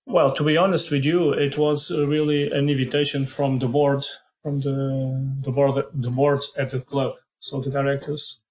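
Portuguese-accented man, flat measured delivery. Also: mid-range voice, rough recording. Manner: hesitant, many fillers and repeated words. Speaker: head of football performance analysis. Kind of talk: podcast interview answers.

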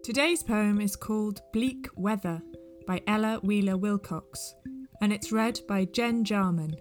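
A woman's voice: mid-range voice, measured pace. A woman reading a scripted podcast introduction as the host. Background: soft plucked guitar music.

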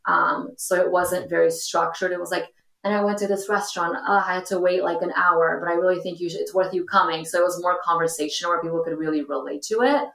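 A woman's voice: lowish voice, fairly even intonation, fast, vocal fry, fairly bright tone. A young adult woman from Portugal, a podcast guest talking casually and filling her speech with ums and you-knows. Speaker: guest, influencer. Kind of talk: casual interview talk. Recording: echoey room.

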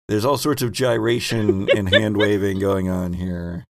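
A man's voice: lowish voice, fairly even intonation, slow pace, gravelly. A man hosting a tabletop game as game master in a casual, hesitant, playful way.

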